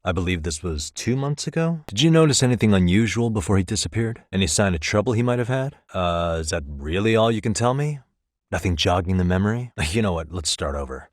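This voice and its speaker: An actor playing a calm, wry private detective in his thirties in a video game, speaking in a measured, conversational way. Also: low-pitched, dry recording, studio quality. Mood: polite, insistent. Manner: measured, conversational, lightly sardonic. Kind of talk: naturalistic English video game voice acting.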